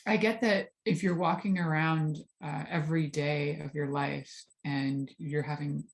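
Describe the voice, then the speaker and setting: A woman's voice: sits low, steady tone, measured pace, gravelly. A woman hosting a podcast, talking candidly and casually on her own, with many fillers. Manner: candid, frank, chatty with filler words. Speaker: podcast host. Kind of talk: casual solo talk.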